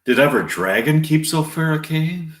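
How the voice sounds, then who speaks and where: A man's voice: fairly high pitch, animated, lightly acted. A man reading an impatient lovesick young girl, echoey room. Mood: bitter. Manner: halting, earnest verse reading. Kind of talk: amateur Shakespeare reading.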